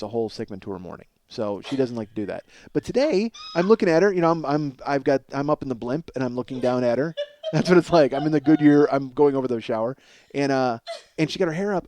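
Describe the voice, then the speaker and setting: A man in his forties hosting a comedy podcast, rambling in an animated, profane monologue and often cutting himself off. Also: mid-range voice, slight background noise. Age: 40s. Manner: animated, emphatic, self-interrupting riffing.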